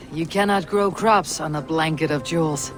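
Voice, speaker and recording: A woman's voice: deep voice, moderate pace, close to an everyday voice. A woman playing a dry Viking warrior. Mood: teasing. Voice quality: dry, teasing. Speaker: game character. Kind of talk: video game cutscene acting. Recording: game audio with clear music.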